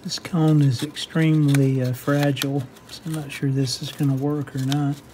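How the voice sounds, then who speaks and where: Mellow-voiced man demonstrating at a workbench. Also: a little high, little pitch movement, normal speed, slight background noise. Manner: hesitant, methodical step-by-step explaining. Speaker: host of a radio repair how-to channel. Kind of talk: unscripted hands-on workshop demonstration. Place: indoor home workshop bench.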